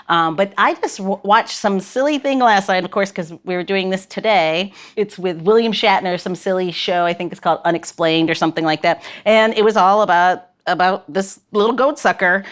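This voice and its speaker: A woman's voice: on the low side, clean tone. A woman hosting a podcast, chatting and telling stories in a casual, bantering, playful way. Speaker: podcast host. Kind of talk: casual chat and storytelling.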